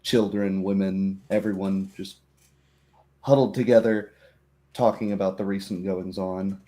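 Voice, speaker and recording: A man with a low, very mellow voice narrates a fantasy roleplay as game master. He tells it dramatically, in measured phrases with pauses. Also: fairly even intonation.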